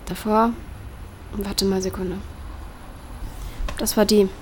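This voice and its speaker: A young actress playing a grieving, searching daughter in a naturalistic audio drama, talking colloquially and insistently. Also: female voice, medium pitch, little pitch movement, takes it slowly, lightly acted. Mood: pensive. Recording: clear background ambience noise.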